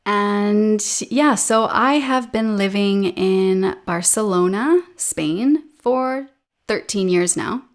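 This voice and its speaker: A woman in her thirties with a Canadian accent, a guest chatting casually in a relaxed way with fillers, over a video call. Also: mid-range voice, slow. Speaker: podcast guest, English teacher. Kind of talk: casual interview chat. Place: home room with a painting behind her.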